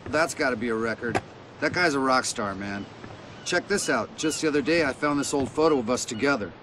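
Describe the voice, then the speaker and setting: A man's voice: mid-range voice, animated, a little fast, background noise, lightly acted. A man playing a laid-back, nostalgic veteran skateboarder in a video game, casual and slangy. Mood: amused, nostalgic. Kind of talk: video game cutscene acting.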